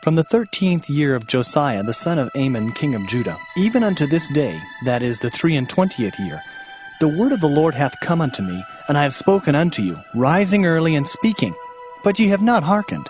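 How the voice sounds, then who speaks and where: Warning prophet, scripture drama: higher-pitched, very animated, solemn, declamatory. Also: male voice, brisk, faint music behind. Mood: reproachful, stern.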